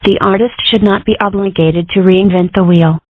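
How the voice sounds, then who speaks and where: A woman's voice: medium pitch, fairly even intonation, normal speed, no echo, phone-quality sound, lightly acted. A woman voicing an opinionated, mischievous animal girl in a comic dub. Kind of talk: comic dub character acting.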